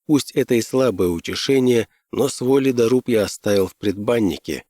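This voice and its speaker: A man's voice: mid-range voice, animated, normal speed, mellow, dry recording, studio quality. A man reading scripted first-person fiction as an audiobook narrator.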